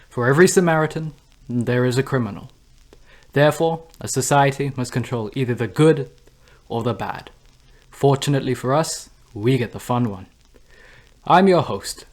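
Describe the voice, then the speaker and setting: A man's voice: medium pitch, lightly acted. A man playing a smug, theatrical demon who hosts a news broadcast in hell, speaking with suave, sardonic mock politeness over music. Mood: smug, mock-welcoming. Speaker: radio news host. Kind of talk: scripted comedic radio broadcast. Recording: music under the voice.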